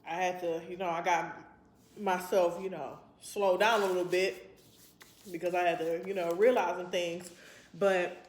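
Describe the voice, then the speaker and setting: A woman's voice: fairly low pitch, little pitch movement, a little slow, slight background noise. A woman in her twenties chatting casually to her vlog audience in a rambling, reflective, informal way. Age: 20s. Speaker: vlogger. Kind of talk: casual chat to camera. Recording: roomy, echoey sound.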